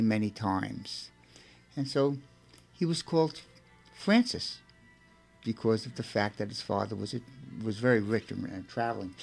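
Brooklyn-accented man with a gravelly voice. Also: medium pitch. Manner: chatty, laughs often, repeats and restarts. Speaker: radio show host. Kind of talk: casual radio chat and storytelling.

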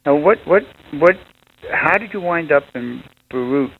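A man's voice: on the high side, animated, a little slow. A man hosting a radio show, interviewing a guest in a chatty way with frequent affirmations. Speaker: radio host. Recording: tinny phone-line radio with hiss.

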